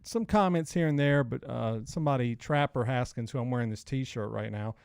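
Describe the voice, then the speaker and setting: A man's voice: mid-range voice, moderate pace, gravelly, a little mellow, clean tone, no echo. Male podcast co-host, 40s, mid-range voice, loose slangy storyteller, close dry mic. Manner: informal, slangy, rambling. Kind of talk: casual banter and storytelling.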